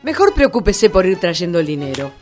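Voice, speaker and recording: An actress playing a furious, determined daughter with an Argentine accent, confrontational and sharp-tongued in a comic radio play. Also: female voice, on the low side, very animated, a little fast. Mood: scornful, confident. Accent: Argentine, Rioplatense. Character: furious, determined, scornful daughter of a cheated gambler. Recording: music under the dialogue.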